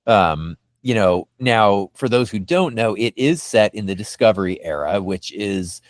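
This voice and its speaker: A man hosting a fan discussion podcast, talking in an animated, emphatic way. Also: on the low side, measured pace, no room sound, studio quality. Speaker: podcast host.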